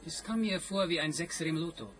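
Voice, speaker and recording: An actor playing a self-pitying, bitter failed artist and culprit in a radio crime comedy, complaining sardonically. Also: male voice, a little high, a little fast, a bit bright, lightly acted.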